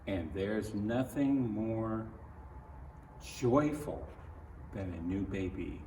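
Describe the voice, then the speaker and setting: A man's voice: on the low side, lively intonation, extremely slow, dark tone. An older man reading a children's picture book aloud, with folksy, grandfatherly asides spoken directly to young listeners. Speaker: storybook reader. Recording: roomy echo, distant mic, noisy background.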